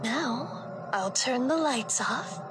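Woman doing a sweet cartoon mom, high voice, talks slow n explains stuff, loud music under it. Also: lively intonation. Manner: gentle endearments, explains step by step. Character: doting, calmly instructive mother. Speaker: voice actor in a fan-made animated story. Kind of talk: acted motherly storytelling dialogue.

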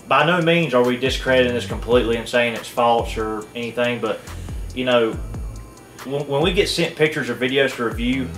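A man's voice: mid-range voice, a bit bright, reverberant. A young man co-hosting a casual video review, skeptical and hedging, thinking aloud with many fillers. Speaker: co-host. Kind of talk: casual video analysis chat. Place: small indoor room at a table.

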